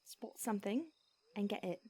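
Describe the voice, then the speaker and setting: A woman voicing a calm, centuries-old female vampire mentor in an audio roleplay, speaking in a soft, hushed whisper. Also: mid-range voice, normal speed, husky, lightly acted. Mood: instructive.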